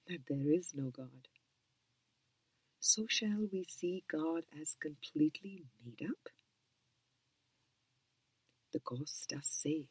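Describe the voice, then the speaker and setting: A woman reads in a hushed, calm whisper with a low, breathy voice. She takes her time, letting each phrase settle slowly. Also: no echo, rough recording. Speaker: reader. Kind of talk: soft whispered reading of a spiritual book.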